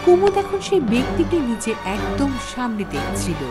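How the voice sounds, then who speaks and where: A woman's voice: on the high side, sing-song, normal speed. A woman narrating a scripted horror story in a suspenseful, theatrical manner. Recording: background music under the voice.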